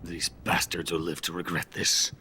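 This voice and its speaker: An actor playing a bitter, boastful older Soviet weapons scientist who is drunk and rambles theatrically in a video game. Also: male voice, low-pitched, level tone, moderate pace, pushed and bright, a little hiss or hum. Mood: bitter resentment. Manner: grandiose, self-pitying, theatrical rambling. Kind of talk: dramatic video game voice acting.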